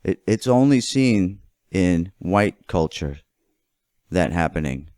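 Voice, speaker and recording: Low, smooth-voiced man giving opinionated commentary. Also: expressive, slow, a little mellow, no room sound, studio quality. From United States. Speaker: political commentator. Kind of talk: opinionated monologue.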